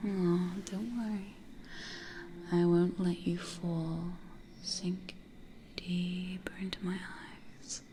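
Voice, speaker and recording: A woman voicing a possessive, teasing female vampire in an ASMR roleplay, coaxing and domineering in breathy whispers close to the microphone. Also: fairly low pitch, little pitch movement, drawn-out words, pauses often, background noise. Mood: commanding, hypnotic. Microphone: close studio condenser microphone.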